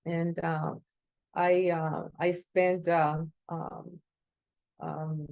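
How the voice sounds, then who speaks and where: A woman's voice: low-pitched, level tone, gravelly, a little mellow, dry recording, lo-fi sound. Woman with a Costa Rican accent. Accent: Costa Rican Spanish. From Costa Rica. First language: Spanish. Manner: halting, many fillers and restarts. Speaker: interview guest, space agency executive. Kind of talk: casual interview answers.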